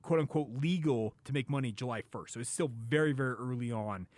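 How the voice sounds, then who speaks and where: A man's voice: medium pitch, fairly quick, darkish tone, no room sound. A man hosting a sports talk show in a casual, unscripted style.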